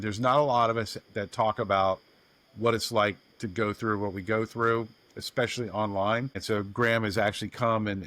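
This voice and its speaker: A man's voice: fairly low pitch, a bit bright, dry recording. Folksy male podcast host, dry sound. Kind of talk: casual podcast hosting.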